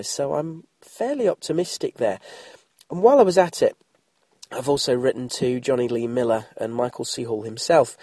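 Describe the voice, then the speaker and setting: A middle-aged Englishman hosts a solo podcast in an informal, self-deprecating way. His voice is soft-edged and dark, husky and bunged up with a cold. The sound is very dry and close, with wry asides dropped into the chat. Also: mid-range voice, no echo. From England. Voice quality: congested, throaty. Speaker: podcast host. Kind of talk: chatty solo podcast introduction.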